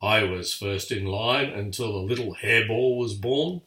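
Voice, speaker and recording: An older man doing Scar, a sly low lion. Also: measured pace, sharp tone. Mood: grumbling. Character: scheming jealous lion uncle, sly and menacing. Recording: echoey room, far from mic.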